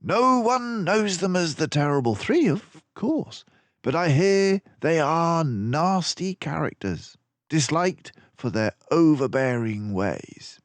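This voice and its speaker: Storybook detective mouse, male reader acting: raised pitch, swooping delivery, confident schemer with courtly manners. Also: lively intonation, slow pace, dry recording. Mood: pleased. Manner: theatrical, self-assured, mannered turns of phrase.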